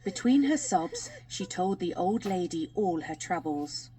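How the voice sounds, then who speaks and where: A woman's voice: on the low side, big pitch swings, unhurried, mellow, rough recording. A woman narrating a children's fairy tale as a scripted audiobook.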